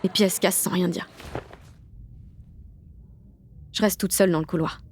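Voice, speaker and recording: A woman's voice: on the low side, fairly even intonation, rapid-fire, darkish tone, a little hiss or hum, natural voice. An actress playing a rebellious, emotional sixteen-year-old girl who narrates an audio drama in blunt, sarcastic slang. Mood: melancholy. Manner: slangy, blunt teenage delivery. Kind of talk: first-person audio fiction narration.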